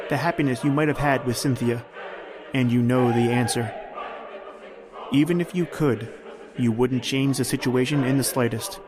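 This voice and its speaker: A man hosting and narrating a scripted old-time radio style suspense drama, close to the mic. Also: medium pitch. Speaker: podcast host and narrator.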